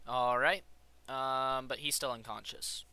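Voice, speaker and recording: A man's voice: medium pitch, steady tone, measured pace, creaky, sharp tone, clean tone, no echo. A man running a tabletop game as game master, casual and hesitant.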